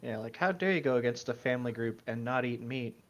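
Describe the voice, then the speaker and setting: A man's voice: medium pitch, quick pace, a bit bright. A man with a mid-range voice co-hosting a casual, conversational commentary show. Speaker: podcast co-host.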